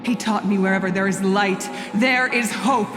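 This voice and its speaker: An actress playing a proud, righteous young warrior in a video game, declaiming in a commanding, formal way. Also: female voice, mid-range voice, fairly even intonation, normal speed, close to an everyday voice. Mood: bitter, scornful. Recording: echoey hall, music behind.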